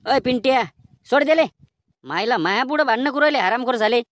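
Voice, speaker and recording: A woman voicing a gruff male village schoolteacher in a comedy cartoon, scolding and threatening in coarse rural Maharashtrian speech. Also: a little high, animated, brisk, slight background noise, close to an everyday voice.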